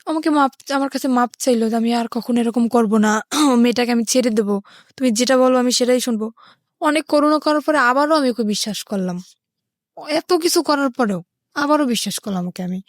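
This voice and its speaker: A woman's voice: on the high side, a little fast, no room sound, studio quality. A young woman with a Bangladeshi accent, telling her life story as an interview guest.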